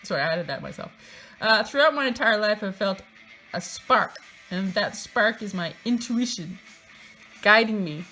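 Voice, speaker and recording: A woman's voice: mid-range voice, expressive, normal speed, pushed and bright, soft background music, poor audio. A woman reading a book aloud in a theatrical, mocking way, breaking into casual, sardonic asides of her own.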